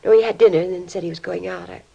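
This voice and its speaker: An actress playing a distraught, disbelieving middle-aged American mother in an old radio crime drama, with a breathy, fragile voice. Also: on the low side, steady tone, rapid-fire, highs cut off, heavily acted. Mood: dazed, sorrowful. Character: distraught, grieving mother of a teenage boy, disbelieving.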